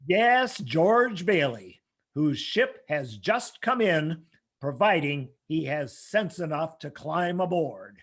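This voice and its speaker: A man voices a scheming old money man from a vintage radio drama. He speaks slowly in a high-pitched voice. His manner is imperious and condescending, used to getting his way. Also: animated, breathy edge, heavily acted. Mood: persuasive. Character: domineering, scheming rich old businessman, radio villain. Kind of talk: old-time radio play acting.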